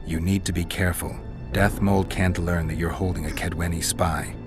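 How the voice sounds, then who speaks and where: An actor playing a stoic, dry-witted mercenary monster hunter in a video game, terse and deadpan. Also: male voice, low-pitched, moderate pace, close to an everyday voice. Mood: serious. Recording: game music behind the voice.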